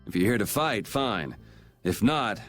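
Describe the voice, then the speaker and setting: A man's voice: lowish voice, very animated, unhurried. An actor playing a confident young male swordsman hero with a dry, blunt, self-assured way of talking. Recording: game music underneath.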